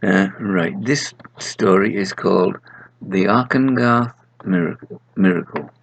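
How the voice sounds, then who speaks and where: A man's voice: low-pitched, unhurried, gravelly, poor audio. A man, the author, reading his own story aloud in a storybook narration style.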